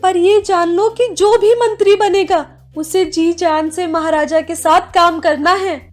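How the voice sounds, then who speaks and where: Casting: female actor as clever cartoon tigress queen, very high voice, commanding and quick to scold, music underneath. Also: steady tone. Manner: sarcastic, commanding. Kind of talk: animated animal fable dialogue.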